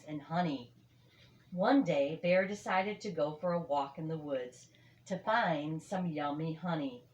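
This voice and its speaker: An older woman reads a children's story aloud with expressive, emphatic phrasing in an echoey room. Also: on the low side, dull audio, slight background noise. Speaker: storybook reader.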